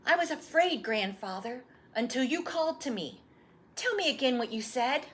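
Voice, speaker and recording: Woman acting as a young storybook boy, high voice, swooping pitch, proud, excitable, earnest. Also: moderate pace. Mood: thrilled. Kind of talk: animated storybook read-aloud.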